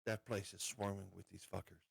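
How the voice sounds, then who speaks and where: A man playing a tabletop roleplaying game, improvising in character in a halting, deliberate way. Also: sits low, level tone, creaky, husky, no room sound.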